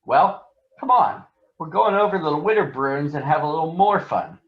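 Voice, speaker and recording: A man's voice: a little high, expressive, moderate pace, pitched above the performer's own voice. A man voicing a cocky, sneering young bear gang leader in a children's storybook, with a taunting manner. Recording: dull, echoey room.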